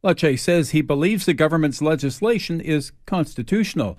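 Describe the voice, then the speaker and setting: A man's voice: fairly high pitch, lively intonation, normal speed, darkish tone, no room sound. A man reading scripted news as a radio anchor.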